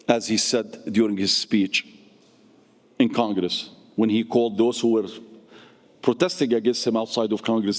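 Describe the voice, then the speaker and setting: Middle-aged male speaker, passionate and emphatic, medium pitch, long hall reverb. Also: a little mellow. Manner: passionate, emphatic, with repeated phrases and big pitch swings. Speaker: community speaker and activist. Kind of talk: impassioned public speech. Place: mosque hall.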